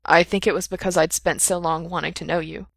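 A woman's voice: fairly low pitch, fairly even intonation, fairly quick, gravelly, dry recording. Woman reading as a tense, defensive young man. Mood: strained. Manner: loud, strained outbursts. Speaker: reader voicing a character.

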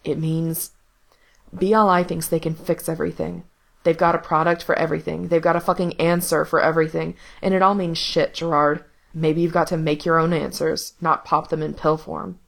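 A woman reading a flat, bitter young man. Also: low-pitched, moderate pace, gravelly.

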